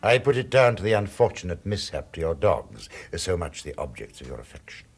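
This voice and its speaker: Cruel possessive crime boss, husky voice, widely swinging intonation, measured menace, radio drama. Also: male voice, low-pitched, big pitch swings, exaggerated voice. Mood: coldly menacing. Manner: measured, condescending, threatening.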